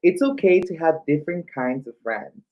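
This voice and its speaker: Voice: mid-range voice, expressive, moderate pace, a little hiss or hum. A drag queen co-hosting a children's story time, reading aloud with playful, campy banter over livestream audio. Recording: slightly dull livestream audio.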